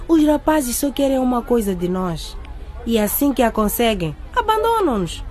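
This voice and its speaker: A cynical teenage girl in a radio serial, the bossy best friend. Her pitch swings widely as she confides and advises, amid clear crowd chatter. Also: very animated, normal speed, a little mellow. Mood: cynical, soothing. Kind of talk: radio drama acting.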